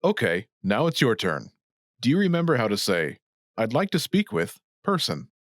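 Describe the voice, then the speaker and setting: A man hosting a language lesson, reading scripted instructions in a clear, didactic way. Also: medium pitch, huge pitch swings, normal speed, a little hiss or hum.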